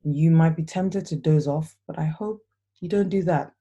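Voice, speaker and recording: A woman hosting a remotely recorded podcast interview, talking in a soft, relaxed way. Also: low voice, moderate pace, husky, highs cut off. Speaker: podcast host. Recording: not studio quality, remote.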